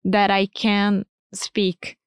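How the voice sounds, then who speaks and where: A woman's voice: medium pitch, completely flat delivery, very slow, clear, resonant tone, dry recording. A woman from Rio de Janeiro with a Brazilian Portuguese accent, a native Portuguese speaker co-hosting a podcast in an emphatic, upbeat way. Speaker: podcast co-host. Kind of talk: casual educational podcast chat.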